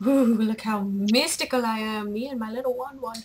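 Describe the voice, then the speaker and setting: A young woman gamer with a playful, excitable, teasing manner, chatting over gameplay and reading game dialogue aloud. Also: fairly high pitch, normal speed, slight background noise. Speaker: gamer, video host.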